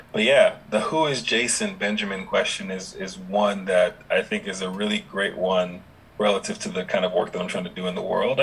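A young adult man with no regional accent, chatting relaxed with many fillers as a podcast guest. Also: low-pitched, slight background noise, rough recording. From Dominica, raised in Houston, Texas. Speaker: podcast guest, app founder. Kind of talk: casual interview conversation.

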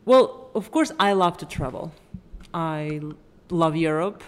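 Russian-accented actress, young woman, lowish voice, bubbly podcast chat, roomy reverb. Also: expressive, measured pace. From Moscow, Russia. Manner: bubbly, laughs a lot. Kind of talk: casual chat.

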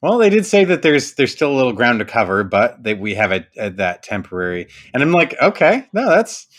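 Male podcast co-host, average pitch, joking, earnest rant. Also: big pitch swings, brisk, fairly bright tone. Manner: wisecracking, swears freely, earnest when ranting. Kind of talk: casual banter podcast. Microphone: home podcast microphone.

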